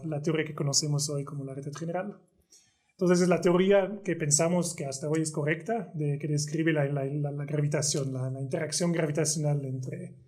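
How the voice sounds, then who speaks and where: A man's voice: a little high, dark tone. Swiss man. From Switzerland. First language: French. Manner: hesitant, repeats words while searching for terms. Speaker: guest physicist. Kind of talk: casual conversational explanation.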